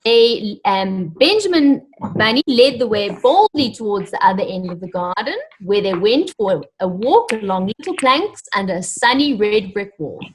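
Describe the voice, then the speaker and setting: A woman reading a story aloud to children, animated and encouraging, pausing to ask them questions directly. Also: mid-range voice, slight background noise, poor audio. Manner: animated, encouraging, addresses young listeners directly.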